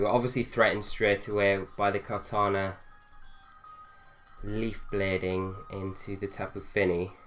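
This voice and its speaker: Young man, lowish voice, nasal with a cold, hesitant casual game commentary, faint music under him. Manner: thinks aloud, hesitant with fillers. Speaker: gaming video host.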